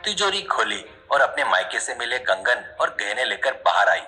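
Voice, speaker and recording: A man's voice: medium pitch, big pitch swings, moderate pace, pushed and bright, faint music behind. A man narrating a scripted cartoon story in an expository storybook manner.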